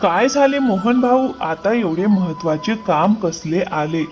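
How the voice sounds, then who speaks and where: Man as high-pitched, trusting cartoon farmer. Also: measured pace, a little mellow, faint music behind. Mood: earnest. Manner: earnest, accommodating. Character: trusting, hard-working, loyal male farmer. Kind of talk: cartoon moral-story dialogue.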